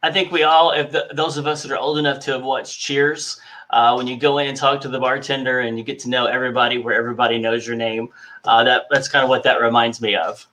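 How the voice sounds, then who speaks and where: A man hosting a podcast, asking slow, hesitant questions with fillers. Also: medium pitch, fairly even intonation, sharp tone. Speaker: podcast host. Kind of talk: interview host.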